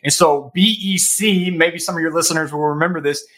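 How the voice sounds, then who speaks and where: A man's voice: a little high, steady tone, fairly quick, a bit bright. A man in his thirties, a guest on a podcast, giving earnest financial advice and often checking in with "right?". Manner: earnest, advisory, emphatic with repeated "right?". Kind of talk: casual podcast interview.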